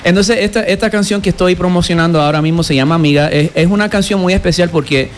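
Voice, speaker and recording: A man's voice: high voice, slight background noise. A middle-aged man from the United States, a salsa singer, chatting as an interview guest and often restarting his words. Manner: halting, many restarts and repeated words. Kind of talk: casual interview chat.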